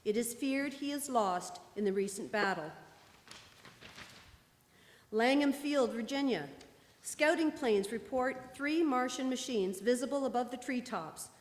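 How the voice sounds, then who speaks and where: A woman plays a news announcer in a radio drama, reading bulletins formally and with measure. Her voice is somewhat high. It carries through a big hall with long reverberation. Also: lively intonation, unhurried. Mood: grave, formal. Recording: echoey church hall, live performance.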